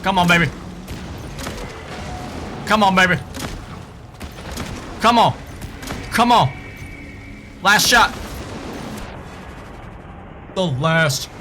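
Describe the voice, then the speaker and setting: A man's voice: sits high, lively intonation, drawn-out words, pauses often, bright, forceful tone. A man streaming a video game live, chatting casually with viewers and swearing as he plays. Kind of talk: live gameplay commentary. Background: game music.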